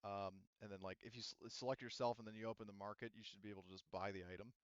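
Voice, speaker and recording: A man's voice: lowish voice, fairly even intonation, racing, smooth, no room sound, highs cut off. A man running a tabletop game as game master, explaining things casually and informally.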